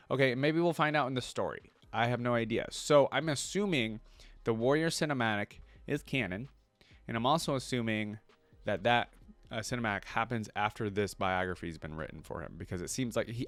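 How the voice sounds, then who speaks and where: Low-voiced man, casual reactor, close dry sound. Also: no echo. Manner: casual, breaks off from reading to think aloud. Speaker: reaction video host. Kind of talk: reading a story aloud with casual reaction commentary.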